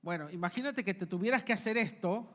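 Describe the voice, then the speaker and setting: Man preaching, bright tense voice, loud peaks and hushed drops. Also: high voice, brisk. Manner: emphatic preacher, rising and dropping to quiet asides. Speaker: pastor. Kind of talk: sermon. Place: church.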